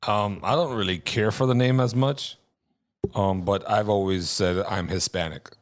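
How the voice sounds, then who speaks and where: Blunt man with a very deep voice. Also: normal speed, no echo, studio quality. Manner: blunt, offhand, sweary. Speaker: podcast panelist. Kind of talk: casual chat.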